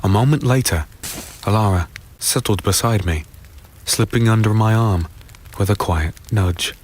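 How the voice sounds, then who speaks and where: A man playing a weary, brooding fantasy hero who narrates his own dark tale in a deliberate, somber, dramatic way. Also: fairly low pitch. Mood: somber, tender. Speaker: narrator and lead character. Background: music.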